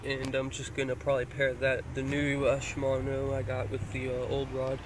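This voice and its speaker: A man's voice: mid-range voice, completely flat delivery, normal speed. A young man from Florida casually showing off his fishing gear, rambling with lots of hesitant fillers, filmed on a handheld phone inside a car. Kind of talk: casual show-and-tell. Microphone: handheld phone pointed down at gear. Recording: noisy phone recording in a car.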